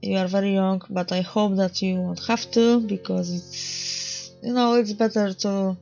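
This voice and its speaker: Gravelly woman host. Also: mid-range voice, steady tone, measured pace, a little mellow, lo-fi sound, soft background music. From Poland. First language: Polish. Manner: hesitant, searching for English words. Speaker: podcast host. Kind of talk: casual online group chat.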